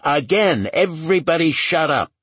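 A man performs a blunt male federal agent in a thriller audiobook. He speaks with firm authority, husky and matter-of-fact, in a dry recording. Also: sits low, very animated, a little slow, no room sound, exaggerated voice. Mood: snapping.

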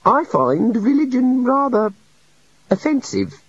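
A man's voice: very high voice, big pitch swings, slow pace, no room sound, dull audio, voice pushed up, exaggerated voice. A man voicing a meek, gloomy male island god with a sad, fussy manner, in an audiobook reading. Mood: musing.

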